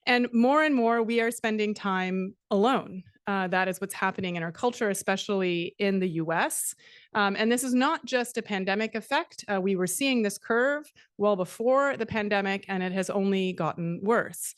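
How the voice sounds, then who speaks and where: A middle-aged woman from Canada giving a conference talk, measured and steady, with frequent fillers. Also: mid-range voice, clean tone, dry recording, studio quality. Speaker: conference speaker. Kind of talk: academic presentation.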